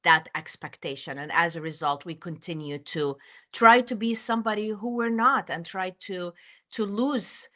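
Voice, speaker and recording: A woman from Jordan telling her personal story as an interview guest, speaking in an earnest, deliberate way. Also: lowish voice, pushed and bright.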